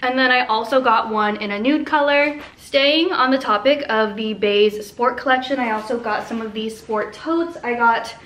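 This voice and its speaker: A woman, chatting to camera in a gushing, excited, emphatic way, like a casual haul vlog filmed at home. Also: on the high side, normal speed, hardly any pauses. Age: 20s. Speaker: vlogger. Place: living room at home. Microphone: camera a short way in front of her.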